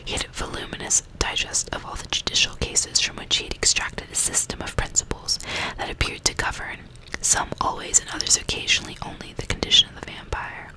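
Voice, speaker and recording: A woman whispering softly and breathily into a close microphone, ASMR style, reading a book and chatting intimately in asides. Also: fairly quick, poor audio. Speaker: ASMR reader and commentator.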